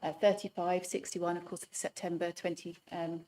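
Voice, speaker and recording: Soft-spoken lady at a planning hearing, lots of ums, mellow low-ish voice. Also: little pitch movement, moderate pace, a little hiss or hum, poor audio. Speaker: hearing participant. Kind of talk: formal public hearing statement.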